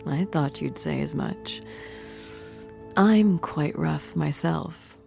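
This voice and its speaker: Woman playing a low, creaky, sultry fanged courtesan. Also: darkish tone, soft background music, full character voice. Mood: seductive, teasing. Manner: flirtatious, coy, teasing, unhurried. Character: sultry fanged fiend-blooded courtesan, playful and teasing. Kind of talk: audio drama character acting.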